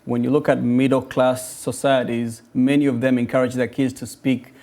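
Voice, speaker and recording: A man's voice: mid-range voice, flat delivery, moderate pace, darkish tone. A man from Uganda speaking as a guest on a studio panel, agreeing often and padding his points with "you know". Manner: frequent "you know" fillers, agreeing interjections.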